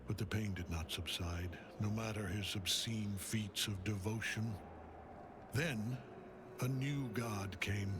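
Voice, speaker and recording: An actor playing a bitter, grieving older father and menacing cult general in a video game cutscene, solemn and deliberate, at times breathy. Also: mid-range voice. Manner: solemn, deliberate storyteller, dropping into breathy near-whispers. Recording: music under the voice.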